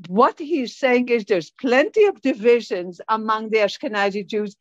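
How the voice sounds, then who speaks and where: A woman's voice: a little high, normal speed, dry recording. A middle-aged woman who grew up in Israel, leading a study group in an informal lecture and quizzing her listeners. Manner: lively, asks the group questions. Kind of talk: informal lecture reading from a book. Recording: dull muffled sound, dry close mic.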